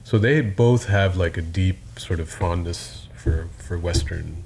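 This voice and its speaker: Gravelly, low American man, hesitant. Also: a little mellow, slight background noise, low-quality recording. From United States. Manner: hesitant, halting phrases. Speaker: musician guest. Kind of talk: casual chat.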